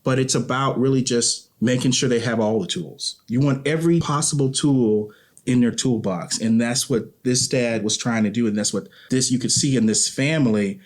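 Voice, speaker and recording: A man's voice: medium pitch, moderate pace. A man speaking as an interview guest in a reflective, earnest conversation, often repeating and rephrasing as he goes.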